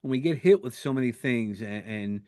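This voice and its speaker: A man's voice: mid-range voice, animated, moderate pace, mellow, no room sound. Male podcast host, rambling, with dry close sound. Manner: rambling, with many restarts and fillers. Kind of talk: conversational interview.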